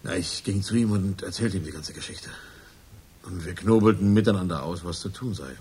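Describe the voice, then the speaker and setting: An actor playing a nervous, self-justifying crook confessing in a radio crime drama, halting and colloquial. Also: male voice, sits low, darkish tone, slightly rough. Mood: uneasy, agitated.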